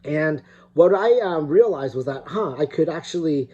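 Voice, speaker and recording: A man's voice: fairly high pitch, lively intonation, moderate pace, a little mellow, lo-fi sound. A middle-aged man from California giving a recorded opening talk as guest speaker, hesitant with many fillers and restarts. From California, United States.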